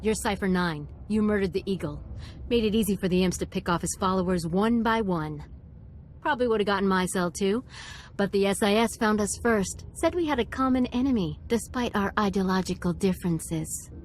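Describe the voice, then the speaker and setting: An actress playing a bitter, vengeful fighter in a video game, hard and accusing. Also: female voice, medium pitch, a little fast, slight background noise, close to an everyday voice. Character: bitter, vengeful female fighter confronting her target.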